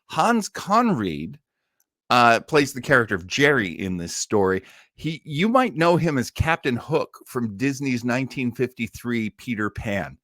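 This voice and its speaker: A man's voice: medium pitch, sing-song, a little slow, a bit bright, dry recording. Male host, mature, mid-range voice, chatty fan energy, informal live delivery, very dry sound. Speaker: host of an online listening party. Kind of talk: casual live chat introducing a radio cast.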